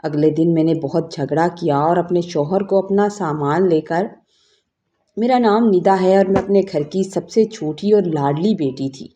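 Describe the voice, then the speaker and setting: A woman's voice: lowish voice, warm tone. A woman narrating a scripted first-person story in an emotive storytelling manner.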